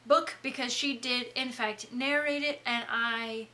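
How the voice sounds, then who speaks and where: A woman's voice: a little high, fairly even intonation, a little slow, bright, forceful tone. A woman from the Southern US co-hosting a book podcast, giving candid, opinionated takes in casual conversation and laughing easily. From Southern United States. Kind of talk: casual chat.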